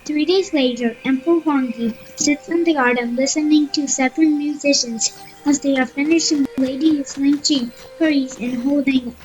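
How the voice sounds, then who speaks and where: A woman's voice: sits high, fairly even intonation, moderate pace, a little mellow, rough recording. A woman narrating an audio play in a scripted storytelling style. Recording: faint music behind the voice.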